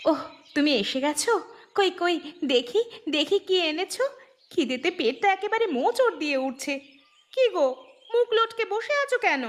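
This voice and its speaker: A woman's voice: very high voice, animated, moderate pace. A woman playing a gluttonous, quarrelsome wife in a cartoon, nagging and melodramatic. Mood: eager, hungry.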